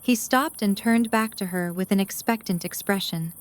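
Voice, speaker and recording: Narrator: woman, mid-range pitch, scripted storytelling for an audio drama. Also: moderate pace.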